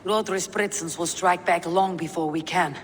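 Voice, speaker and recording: An actress playing a steady female Viking war leader in a video game, calm and decisive. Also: fairly low pitch, moderate pace, big-room sound, a little hiss or hum, natural voice. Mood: matter-of-fact.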